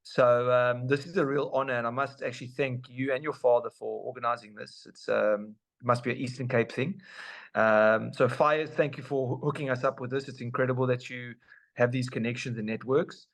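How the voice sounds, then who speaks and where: A man's voice: mid-range voice, fairly even intonation, a little fast, creaky. A man, co-hosting a podcast in hyped, slangy casual chat. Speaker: podcast co-host.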